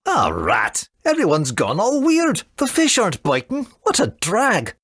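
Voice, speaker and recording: A woman voicing a laid-back, grumbling little forest creature in a video game, raspy and casual. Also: mid-range voice, wildly swooping pitch, a little slow, no room sound, clean studio sound, full character voice. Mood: bored, casual.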